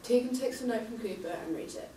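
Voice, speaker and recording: A woman's voice: a little high, quick pace, warm tone. A woman reading stage directions as scripted narration at a table read, recorded from a distance in a noisy, echoey room.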